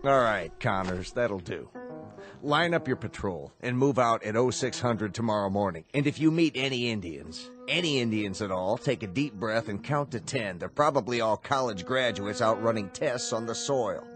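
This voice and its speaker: A man's voice: on the low side, expressive, normal speed, natural voice. A man acting in a radio drama as an impatient, skeptical commanding officer with a dry, authoritative, sarcastic delivery. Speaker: actor. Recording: radio drama with music underneath.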